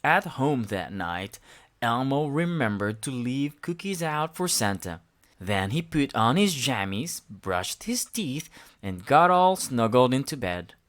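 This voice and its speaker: A woman narrates a children's picture book in a low voice. Her delivery is slow and full of rises and falls, shaped for young listeners. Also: very animated. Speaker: read-aloud storyteller. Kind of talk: expressive children's storybook narration.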